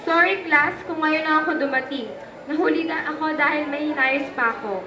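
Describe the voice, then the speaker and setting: A woman's voice: high voice, moderate pace, natural voice. A woman voicing a strict female schoolteacher with a Filipino accent in an amateur student puppet show. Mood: apologetic. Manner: scolding, questioning. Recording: echoey room recording with background noise.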